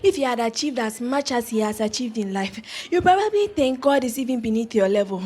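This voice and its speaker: A young female medical student with a Ghanaian accent, acted by a woman in an audio drama. Her voice is fairly high, with a husky, breathy edge. She comes across as a dutiful junior. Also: expressive, brisk, darkish tone. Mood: chiding. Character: young female medical student, chiding peers, deferential to seniors. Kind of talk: scripted audio drama acting.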